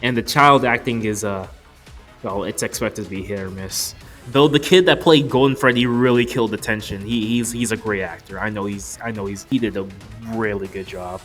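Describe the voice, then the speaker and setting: A man's voice: fairly low pitch, moderate pace, fairly bright tone, soft background music. A man in his twenties narrating an opinionated, scripted movie review in an informal way. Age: 20s. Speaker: video reviewer.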